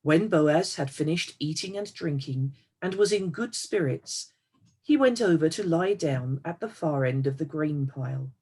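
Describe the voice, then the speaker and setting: A woman reading a Bible story aloud as measured narrative, in short, deliberate phrases. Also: low voice, expressive, warm tone, slightly rough. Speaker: scripture reader.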